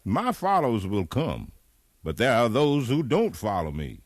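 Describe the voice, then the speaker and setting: An actor playing a devout, fatherly elder among rebel slaves, measured and preacherly in 1950s radio drama style. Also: medium pitch, wildly swooping pitch, no room sound, clean studio sound. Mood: solemn counsel. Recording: remastered 1950s radio broadcast.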